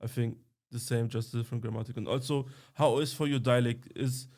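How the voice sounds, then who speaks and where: A man hosting a casual interview, asking questions in simple, halting English. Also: medium pitch, steady tone, a little mellow. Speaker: podcast host, interviewer.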